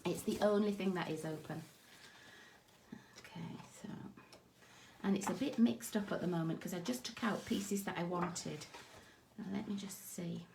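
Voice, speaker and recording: Raspy-throated woman hosting a craft live. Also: lowish voice, moderate pace, pauses often, darkish tone, slight background noise. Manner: chatty, greets viewers by name, self-deprecating. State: scratchy sore throat, slightly raspy. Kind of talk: casual live craft tutorial chatting with viewers. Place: craft desk indoors, overhead camera on hands.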